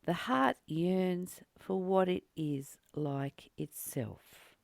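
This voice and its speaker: A woman leading a spiritual book study, reading aloud and reflecting in a deliberate, emphatic manner with pauses and repeated phrases. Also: lowish voice, animated, gravelly, darkish tone, no echo. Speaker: spiritual teacher and channel host.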